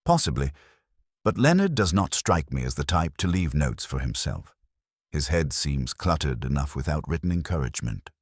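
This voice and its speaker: Man playing a very deep, quiet, wry inspector. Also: very animated, moderate pace, breathy edge, dry recording, studio quality, full character voice. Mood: dry, gentle. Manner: quiet, gentle, understated. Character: mild, dryly witty male police inspector.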